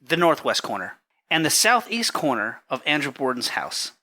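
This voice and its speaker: A man's voice: a little high, sing-song, pushed and bright, heavily acted. A man playing a careful, polite surveyor giving precise testimony in an 1893 trial drama. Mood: careful, matter-of-fact. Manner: measured, literal, polite. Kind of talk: dramatic courtroom reenactment.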